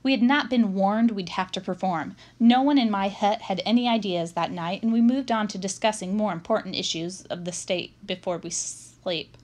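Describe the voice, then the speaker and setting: A woman's voice: medium pitch, normal speed, few pauses. Woman reading a storybook aloud. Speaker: reader of a book aloud. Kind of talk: scripted first-person storybook narration.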